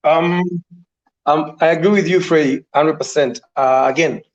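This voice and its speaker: A man on a committee call, talking in a relaxed way with many "you know" fillers. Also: on the high side, unhurried. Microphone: online call. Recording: dull lo-fi call audio.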